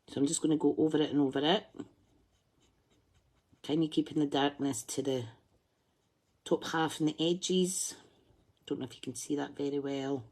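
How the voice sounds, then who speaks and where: A woman's voice: low-pitched, steady tone, normal speed, long pauses, darkish tone. A woman hosting a craft tutorial, chatting and thinking aloud as she colours, humming to herself while she concentrates. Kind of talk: live colouring demonstration.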